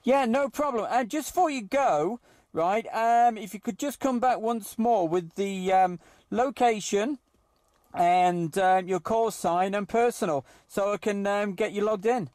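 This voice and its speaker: A man running a CB radio net, speaking in a halting, hesitant way. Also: sits high, darkish tone, no echo. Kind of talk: amateur CB radio chat.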